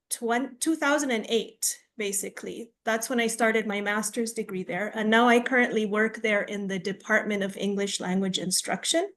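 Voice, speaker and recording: A woman from the United States who grew up in Tanzania, facilitating an online workshop over a video call in a friendly, explaining way with frequent fillers. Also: mid-range voice. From United States, raised in Tanzania.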